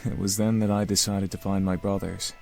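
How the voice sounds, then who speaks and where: A man voicing a formal, earnest young demon prince in a visual novel, soft-spoken, with music underneath. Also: low voice, flat delivery, brisk, vocal fry. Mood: solemn.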